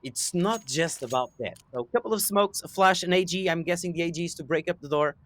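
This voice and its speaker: A man giving casual, excitable color commentary on an esports match, thinking aloud as he breaks down the teams' plans. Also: fairly high pitch, fast talker. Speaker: esports co-caster.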